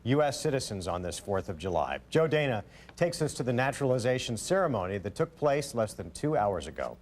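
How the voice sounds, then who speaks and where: A man reading a news introduction in an even newsreader delivery. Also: mid-range voice, fairly quick. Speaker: news anchor.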